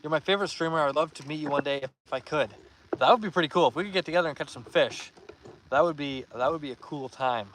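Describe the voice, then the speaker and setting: A young man hosting a live fishing stream outdoors, reading viewer comments aloud and giving upbeat shout-outs in a relaxed voice. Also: a little high, fast, slight background noise, rough recording. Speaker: fishing livestream host.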